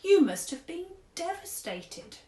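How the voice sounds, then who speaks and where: A woman reading as a kindly old tramp with an old-fashioned, gentle way of speaking. He is slow and patient. The room behind him has a noticeable echo. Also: very high-pitched, very animated, pitched above the performer's own voice, exaggerated voice. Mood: sympathetic. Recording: echoey room.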